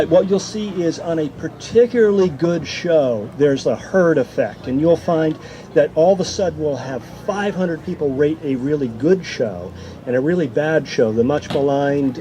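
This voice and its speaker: A man's voice: a little high, mellow. A man on a panel answering an audience question informally, off the cuff, as a statistician. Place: outdoors at a campground. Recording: outdoor event with faint noise. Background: faint outdoor ambient noise.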